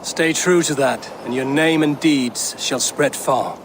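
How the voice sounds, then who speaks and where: An actor playing a commanding, stern Viking raider in a video game, with a measured, authoritative manner. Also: male voice, mid-range voice, noisy background. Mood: resolute.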